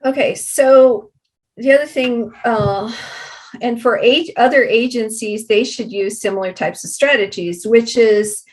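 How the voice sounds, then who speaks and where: A woman giving unscripted interview answers as a guest, explaining programs in a halting, deliberate way. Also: fairly high pitch, a little mellow, poor audio.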